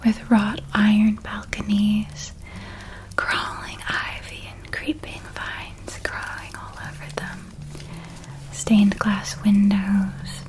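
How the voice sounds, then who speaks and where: A woman performs a kindly, reassuring witch who guides a young student in an ASMR roleplay. Her voice is a hushed whisper with very little melodic movement. She speaks slowly and soothingly, like someone telling a bedtime story. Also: mid-range voice, monotone, natural voice, poor audio. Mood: dreamy, descriptive.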